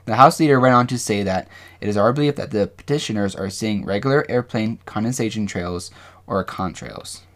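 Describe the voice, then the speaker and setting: Low-voiced man, halting and rambling. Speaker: podcast co-host. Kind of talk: casual discussion with some reading aloud from notes.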